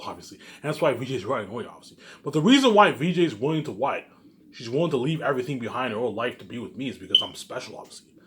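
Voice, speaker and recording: Guy talking really quick in a casual vlog ramble, cocky, repeats his catchphrases, swears a lot. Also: medium pitch. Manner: boastful, repetitive, with frequent catchphrases; emphatic, swearing freely. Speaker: online video creator.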